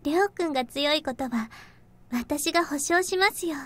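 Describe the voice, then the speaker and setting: A woman playing a meek, gentle young maid in a visual novel game, with music underneath. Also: high voice, fast talker, lightly acted. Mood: gentle, reassuring.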